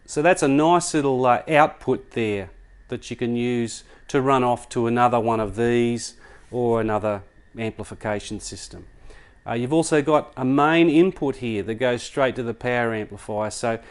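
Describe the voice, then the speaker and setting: A man's voice: medium pitch, normal speed, clean tone. A man giving a casual product demonstration, explaining things step by step in a conversational way. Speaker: product reviewer.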